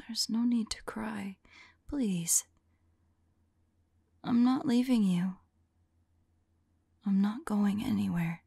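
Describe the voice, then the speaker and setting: Woman acting a breathy, weary, devoted vampire lover. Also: medium pitch, no room sound. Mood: tender, reassuring. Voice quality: breathy, whispery, husky. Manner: gentle, halting, hushed delivery. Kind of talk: ASMR audio roleplay, soft intimate acting.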